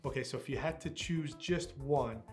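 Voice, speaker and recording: A man's voice: medium pitch, normal speed. Upbeat male interviewer over music. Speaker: interviewer for the academy. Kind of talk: scripted interview host.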